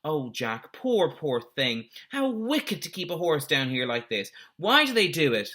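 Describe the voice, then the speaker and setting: Man as a bright, earnest, brave farm boy. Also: fairly high pitch, big pitch swings, measured pace, voice pushed up, exaggerated voice. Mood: pitying. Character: brave, kind farm boy who soothes animals, storybook hero.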